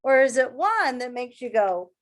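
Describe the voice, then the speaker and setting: A woman teacher reading a picture book aloud to children in an expressive way, pausing to ask her young listeners questions. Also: high voice.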